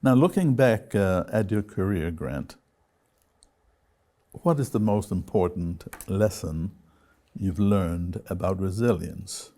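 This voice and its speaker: A man hosting an interview, putting questions in deliberate, measured phrases with pauses between them. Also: low voice, lively intonation, mellow. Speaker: television interview host.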